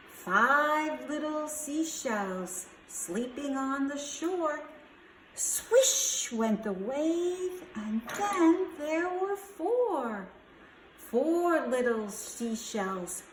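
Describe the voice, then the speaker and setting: A woman hosting a children's storytime, reading aloud and leading counting rhymes in a slow, expressive, sing-song way. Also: high voice. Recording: echoey room with faint background hiss.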